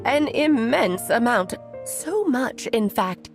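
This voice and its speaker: An actress voicing Alice, a caring, playful fairy-tale mage in a game cutscene, with a gentle, concerned manner. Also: female voice, a little high, sing-song, slow pace, full character voice. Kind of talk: story cutscene voice acting. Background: soft music.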